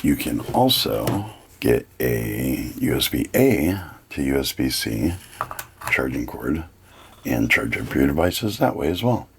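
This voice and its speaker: A man with a gravelly, husky voice giving a casual, plain-spoken hands-on product review. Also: extremely low pitch, big pitch swings, slow.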